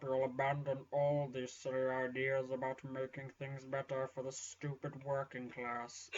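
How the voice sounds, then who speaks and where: A woman voicing a smug, condescending old professor as a quiet villain in a comic story reading. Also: very deep voice, far from the mic. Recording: dull lo-fi audio.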